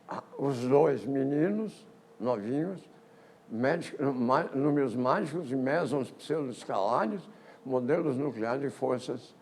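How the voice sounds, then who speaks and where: An older man, giving a physics colloquium talk on history in a lecture hall, halting often with repeated words and false starts. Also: mid-range voice, vocal fry, darkish tone, slight background noise. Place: lecture auditorium.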